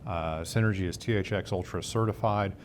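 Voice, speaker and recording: A man's voice: fairly quick, a little hiss or hum. A man in his fifties, a sales director, giving a fluent product rundown in a hotel demo room. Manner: fluent, confident sales pitch.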